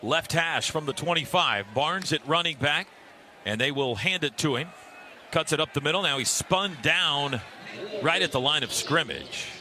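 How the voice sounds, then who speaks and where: A male radio play-by-play announcer with a fairly high voice calls live football. He speaks in clipped, vivid phrases that swell with the action. Clear stadium noise runs behind him. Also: lively intonation, moderate pace, sharp tone. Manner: clipped, vivid running description that swells on big plays. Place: stadium broadcast booth.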